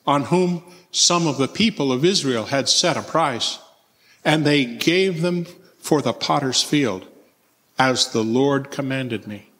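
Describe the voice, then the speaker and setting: A man's voice: on the high side, a bit bright. A man reading a Bible narrative aloud as the narrator at church. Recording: long church reverb.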